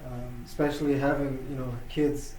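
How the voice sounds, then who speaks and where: A man's voice: medium pitch, little pitch movement, normal speed, dark tone, a little hiss or hum. A man born in Somalia hosting an interview show, easygoing and affirming, with lots of short "yeah"s. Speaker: show host. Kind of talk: casual interview chat. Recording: echoey room, mic at a distance.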